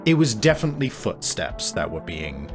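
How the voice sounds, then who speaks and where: A man improvising narration as game master of a tabletop game, in a halting manner with frequent searching pauses. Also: fairly low pitch, very animated, music in the background.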